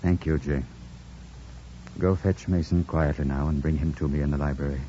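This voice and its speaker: An actor playing a troubled, commanding gentleman in a mid-century radio drama, with intense, theatrical, formal diction. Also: very deep voice, steady tone, normal speed. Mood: searching, grave. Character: brooding, commanding, troubled gentleman master of a house. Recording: muffled, noisy old radio broadcast.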